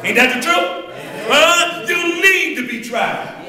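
A man's voice: very high-pitched, expressive, slow, a crowd in the background. An older man preaching a sermon to a congregation, calling out and drawing responses in a loose, colloquial way, on a handheld mic. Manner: call-and-response preaching, colloquial, calls out "huh?". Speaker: pastor. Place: church hall, walking the floor before a congregation. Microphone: handheld microphone, filmed by a far camera. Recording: echoey hall from far off, congregation.

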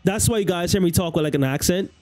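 A young man with a Bahamian accent talks to his stream viewers through a headset in a small room at home. His voice sits fairly high, and he rambles casually, repeating phrases as he wraps up. The tone is relaxed and conversational. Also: fast talker. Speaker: game streamer. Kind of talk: casual livestream chat with viewers.

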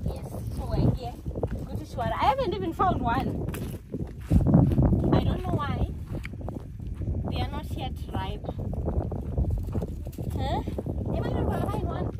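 High-voiced Zimbabwean woman vlogging outdoors. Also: big pitch swings, unhurried, long pauses. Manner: chatty, addresses viewers directly. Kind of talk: casual vlog narration. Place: rural village outdoors. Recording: noisy outdoor phone recording. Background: loud outdoor noise.

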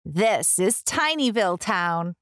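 A woman reading a picture book aloud for young children, expressively, with deliberate, clear enunciation. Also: medium pitch, animated, a bit bright, no room sound, studio quality. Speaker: children's book reader, host.

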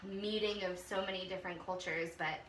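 Californian young woman guest, casual storyteller, bright tone, echoey room with faint hiss. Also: on the low side, steady tone, fairly quick. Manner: storytelling, lots of fillers like "um" and "like". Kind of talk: casual chat over tea. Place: small bright room with a tea table. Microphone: far camera.

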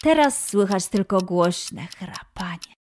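A woman narrating a children's story in an expressive style. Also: medium pitch, takes it slowly, slight background noise.

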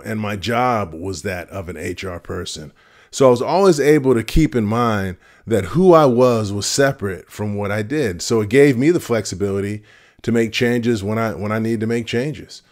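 A man in his fifties talks to camera from a room at home, his deep voice low-pitched and steady. He speaks earnestly and measuredly, pausing on fillers and restarting phrases as he shares advice from his working life. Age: 50s. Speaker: retirement vlogger. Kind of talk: casual advice talk to camera. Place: home room with shelves of vinyl records. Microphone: camera at close framing.

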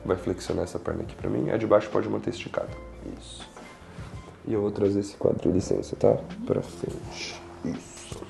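Casting: young Brazilian male chiropractor, low gravelly voice, reassuring, guiding a patient in a roomy space. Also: steady tone, moderate pace. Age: young adult. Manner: reassuring, checking in often. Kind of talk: instructing a patient during treatment, explaining to camera. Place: small indoor treatment room with large windows. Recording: roomy echo, faint music behind.

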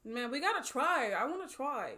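High-pitched, excitable young woman reacting. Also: normal speed, a little hiss or hum. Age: young adult. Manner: excitable, slangy, swearing. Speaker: movie reaction host. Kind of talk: casual reaction commentary. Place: small bedroom studio indoors.

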